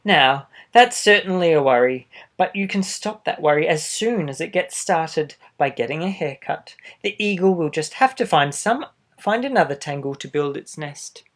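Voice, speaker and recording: A man reading a children's storybook aloud expressively, adding gentle asides for young listeners. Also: fairly high pitch, animated, normal speed. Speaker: storybook reader. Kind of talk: children's picture book read-aloud.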